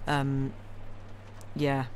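A woman's voice: very deep voice, drawn-out words. A woman chatting casually and ramblingly to a live audience as a stream host, wry, opinionated and enthusiastic. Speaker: livestream host who reads classic novels aloud. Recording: clear steady background noise.

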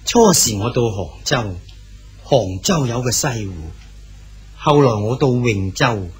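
A man's voice: mid-range voice, a little slow, lightly acted. An actor playing an exiled male poet official in his sixties, easygoing and consoling in a radio drama. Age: 60s. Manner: calm, good-humoured, consoling.

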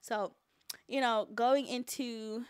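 A woman in her twenties co-hosting a casual livestream chat, excitable, laughing loudly and swearing freely. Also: on the high side, takes it slowly, smooth, no echo, studio quality. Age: 20s. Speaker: co-host.

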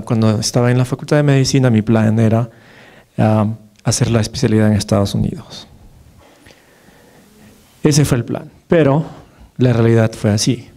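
A Peruvian man in his thirties lectures from a podium in a big dark hall. His voice is mellow and his pitch sits mid-range for a man. He keeps it conversational, full of fillers and "right?" tag questions. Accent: Peruvian Spanish. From Peru. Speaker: neurologist giving a lecture. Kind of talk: conference lecture. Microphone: podium microphone, far camera.

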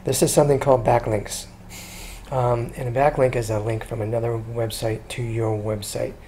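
A man talking casually through a screen-recorded walkthrough as a consultant, chatty and relaxed, with frequent ums and restarts. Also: medium pitch, moderate pace, a little hiss or hum. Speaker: SEO consultant pitching a business.

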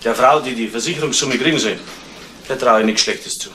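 A man's voice: medium pitch, a little fast, sharp tone, lightly acted. A man playing a good-natured, flustered junior policeman with a Bavarian accent, colloquial and stammering, in 1970s radio drama style. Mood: defensive, flustered. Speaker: actor. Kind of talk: 1970s German radio crime drama acting. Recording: noisy old 1970s radio play recording.

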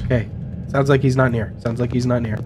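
A man's voice: medium pitch, fairly even intonation, a little fast. A man with a cold hosting casual gameplay chat with friends, repeating short instructions to his teammates. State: sick. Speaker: gaming video host. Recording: game music behind voice.

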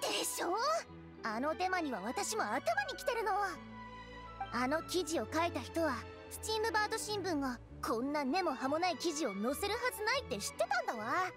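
A woman's voice: high-pitched, sing-song, fairly quick, over music. An actress playing an earnest, righteous young female reporter in a game, speaking eagerly and emphatically. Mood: righteous indignation. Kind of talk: Japanese game voice acting.

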